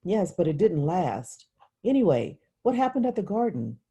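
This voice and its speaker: A woman's voice: fairly low pitch, very animated, warm tone, husky, pitched above the performer's own voice, heavily acted. A woman reading the part of a naive, impatient little girl who fires off eager questions in a novel. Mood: envious, pouting. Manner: eager rapid-fire questioning. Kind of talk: literary audiobook dialogue.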